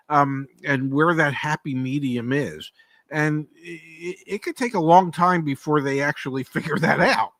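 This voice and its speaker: An older man co-hosting a casual live stream, talking in a measured, hesitant way with many ums and restarts. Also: a little high, fairly bright tone, no echo. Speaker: podcast co-host.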